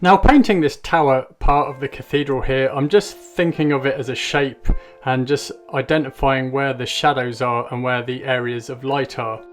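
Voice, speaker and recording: A man's voice: mid-range voice, steady tone, moderate pace, soft background music. A man from England narrating a painting tutorial in a friendly, explanatory way.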